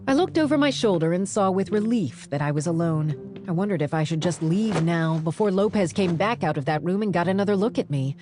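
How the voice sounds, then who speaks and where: A woman reads first-person audiobook narration in a fairly low voice. Her delivery is wry and very animated, moving freely in pitch, with some music behind her. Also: brisk.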